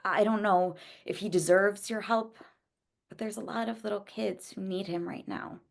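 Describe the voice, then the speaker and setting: A woman's voice: medium pitch, steady tone, normal speed, gravelly, lightly acted. A woman playing an earnest, easily exasperated young student teacher in a script table read. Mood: earnest, pleading.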